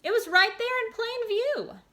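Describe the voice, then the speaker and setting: A woman voicing a kind, graceful robotic caretaker who speaks in a gentle, old-movie style. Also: very high-pitched, a little slow, voice pushed up, exaggerated voice.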